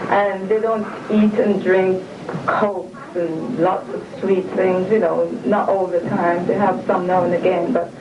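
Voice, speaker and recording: A woman's voice: on the low side, a little slow. Earnest woman heard from across a room. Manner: earnest, calm, faith-filled. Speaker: interviewee. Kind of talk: videotaped personal statement. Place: room inside a residential compound building. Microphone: camcorder microphone some distance away. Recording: distant, echoey, hissy old videotape.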